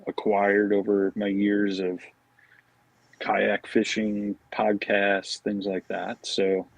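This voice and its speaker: Laid-back guy guesting on a podcast, talks really slow, bit of a husky voice. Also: fairly low pitch, completely flat delivery, no echo, rough recording. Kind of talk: casual chat.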